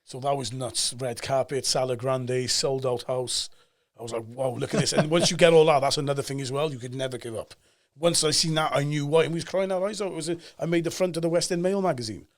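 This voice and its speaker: A man in his forties with a Cardiff Welsh accent, talking as a guest on a podcast and telling stories with emphatic energy. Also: mid-range voice. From Cardiff, Wales. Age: 40s. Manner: animated storyteller, fast and emphatic, acts out quoted voices. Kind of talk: casual podcast chat.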